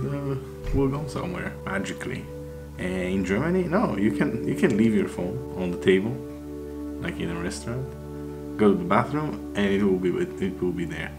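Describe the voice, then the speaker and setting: A low-pitched man from a Mediterranean country talks as a casual vlogger in non-native English. He is informal and sweary, given to mock disbelief. Loud plucked guitar music plays underneath him. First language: not English. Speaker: expat vlogger. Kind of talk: casual chat to camera. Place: small home room, indoors.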